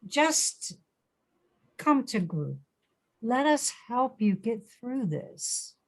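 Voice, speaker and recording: A woman's voice: a little high, sing-song, extremely slow, heavily acted. A woman acting an earnest, concerned friend in a screenplay table read, emphatic and pleading.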